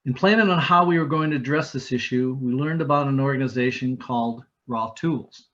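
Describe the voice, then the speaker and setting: A man, a chapter organizer, reads a measured, formal introduction of a guest speaker. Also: mid-range voice, fairly bright tone, lo-fi sound. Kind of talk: reading a prepared introduction.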